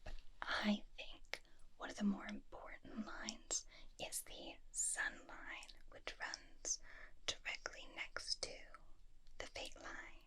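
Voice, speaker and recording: A woman whispering softly and intimately in an ASMR roleplay as a flirty, attentive witch, explaining things in an unhurried way. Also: medium pitch. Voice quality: soft breathy whisper. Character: flirty, attentive witch giving a palm reading. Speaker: ASMR performer.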